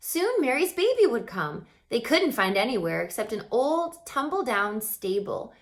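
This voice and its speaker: Slow-paced woman reading a children's story. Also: on the high side, sing-song. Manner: dramatic, emphatic delivery with hushed moments. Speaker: storybook reader. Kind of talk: expressive children's story read-aloud.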